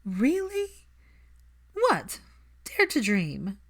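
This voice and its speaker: A woman voicing a flustered young woman, cornered and evasive, speaking in a halting, exasperated way. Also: medium pitch, huge pitch swings, full character voice.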